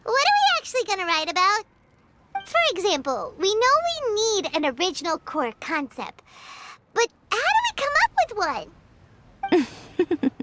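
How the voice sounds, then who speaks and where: A woman voicing a tiny, chirpy, childlike fairy companion in a video game, with a squeaky voice. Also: wildly swooping pitch, normal speed, long pauses, background noise, exaggerated voice. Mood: curious, earnest. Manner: refers to self in third person, exclamatory. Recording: loud music behind.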